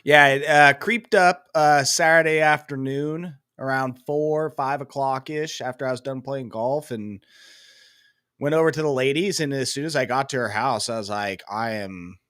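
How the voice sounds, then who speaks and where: A man's voice: medium pitch, moderate pace, a bit bright, smooth, dry recording, studio quality. A young man with a head cold, rambling casually as co-host of a sports podcast, full of fillers and self-deprecating asides.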